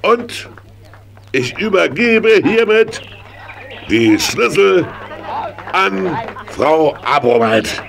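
A man's voice: high voice, big pitch swings, creaky, a crowd in the background, full character voice. An actor playing a jovial man who speaks pompously and ceremoniously in a children's audio drama. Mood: festive, pompous. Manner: ceremonious, drawn-out delivery.

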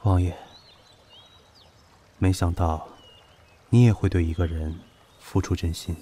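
Royal bodyguard male actor: lowish voice, formal, curt, wary, period radio drama. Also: steady tone, unhurried, mellow, slight background noise. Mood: subdued surprise. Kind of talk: Chinese costume audio drama acting.

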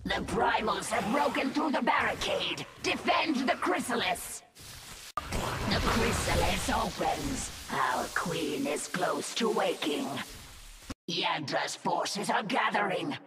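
A woman voicing a fierce, proud alien insectoid brood mother in a video game, imperious and formal, with deliberate delivery. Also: fairly high pitch. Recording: echoing, reverberant, slightly distant with music.

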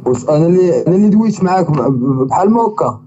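A Moroccan man speaks quickly over a dull phone line with a faint hiss, his voice slightly high and mellow. He lectures and keeps circling back with "ya'ni". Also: animated, recorded from a distance. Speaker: caller. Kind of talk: phone conversation.

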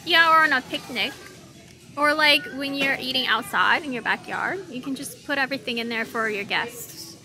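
A chatty woman from Hong Kong hosting a casual shopping vlog with an animated manner. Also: high voice, normal speed, soft background music. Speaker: vlog host. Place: large retail store. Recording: busy store background noise.

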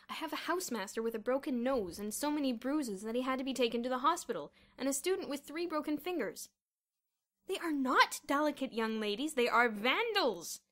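A woman's voice: medium pitch, a bit bright, lightly acted. A woman voicing a stern, middle-aged male headmaster, indignant and emphatic, in a storybook narration.

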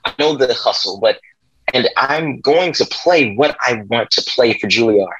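A man's voice: mid-range voice, lively intonation, normal speed, fairly bright tone, husky. A man in his twenties playing a proud, defiant young jazz pianist in a script table read over a video call. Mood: resolute. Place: small room at home, on video call. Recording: dull, lo-fi video call audio.

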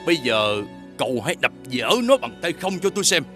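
A man voicing a scheming male gecko who coaxes and goads like a martial mentor in an animated fairy tale. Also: fairly high pitch, a little fast, music in the background. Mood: coaxing, encouraging. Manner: insinuating, coaxing, with theatrical emphasis.

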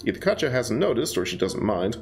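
A man's voice: medium pitch, very animated, fairly quick, faint music behind. A young man on a headset mic reading a visual novel aloud, joking and stumbling through lines, with casual asides. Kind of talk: casual let's-play narration.

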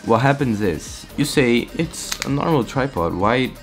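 A teenage boy from Brazil, Portuguese native, chatting excitedly in a casual vlog update from his small bedroom, rambling and restarting often. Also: medium pitch, a little slow, over music. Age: teens. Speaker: vlogger. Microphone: camera-mounted shotgun mic.

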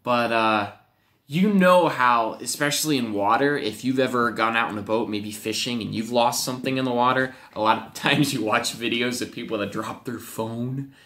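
A young man, a youth pastor, teaching a Bible lesson to children with animated storytelling and playful acted-out voices, speaking to a webcam in a home office. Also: medium pitch, moderate pace, echoey room. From Wisconsin, USA. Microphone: webcam at desk.

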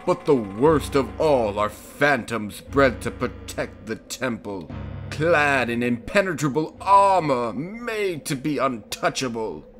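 Man playing a slow, solemn old ocean king. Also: mid-range voice, big pitch swings, soft background music. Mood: grave. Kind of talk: cartoon parody voice acting.

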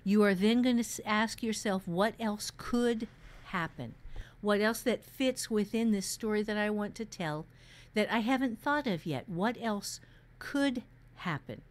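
A woman's voice: medium pitch, measured pace. Emphatic woman teaching fiction writing. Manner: emphatic, dramatizes imagined questions. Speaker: podcast co-host and writing teacher.

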